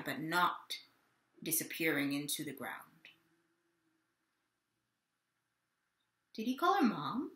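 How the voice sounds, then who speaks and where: A woman performing a dramatic monologue as a confused, childlike mother with memory loss, halting and full of wonder. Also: sits high, pushed and bright, heavily acted. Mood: puzzled. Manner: slow, halting, wondering delivery with lingering pauses. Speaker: actor in a staged reading. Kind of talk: dramatic third-person monologue, read on book. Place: plain indoor room at home. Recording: roomy home webcam sound.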